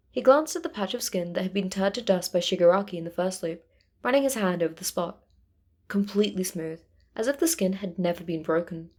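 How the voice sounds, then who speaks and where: A woman's voice: fairly low pitch, a little mellow. A woman reading fiction aloud as narrator in a scripted storytelling style. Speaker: narrator, fan fiction reader.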